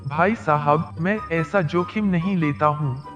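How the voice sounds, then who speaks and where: A man's voice: fairly high pitch, a little slow, highs cut off, over music, close to an everyday voice. A man voicing a rich, jealous, greedy village chief in a cartoon story, talking in a bossy, scornful way. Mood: scheming. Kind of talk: cartoon voice acting.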